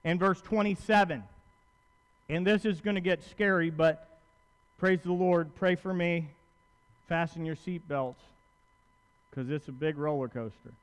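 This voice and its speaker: A man's voice: on the high side, measured pace, long pauses. A man in his thirties preaching a sermon in a conversational, emphatic way, repeating phrases and posing questions to his listeners. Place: large church auditorium, at pulpit on stage. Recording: long hall reverb from stage.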